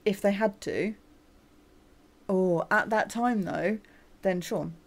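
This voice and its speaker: A woman in her thirties co-hosting a podcast in casual chat, blunt, quick to agree, and swearing now and then. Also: mid-range voice, gravelly. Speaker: podcast co-host.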